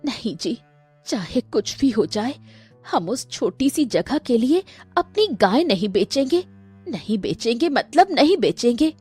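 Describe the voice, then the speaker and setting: A woman's voice: fairly high pitch, very animated, measured pace, a little mellow, breathy edge, faint music behind, voice pushed up, full character voice. A woman voicing a devoted, stubborn village wife in a cartoon story, firm and emotional. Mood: defiant. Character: devoted, stubborn village wife who loves her cows.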